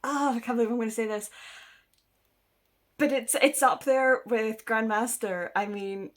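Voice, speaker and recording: A woman's voice: a little high, fairly even intonation, unhurried. A woman rambling casually to camera about her reading, sniffly from a cold, chatty and self-deprecating with frequent ums and laughs. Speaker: book vlogger. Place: indoors at home.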